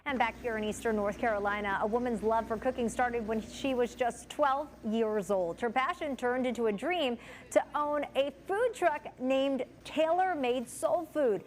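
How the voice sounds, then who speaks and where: A woman anchoring a TV news program with a smooth scripted delivery. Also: on the high side, moderate pace, slight background noise.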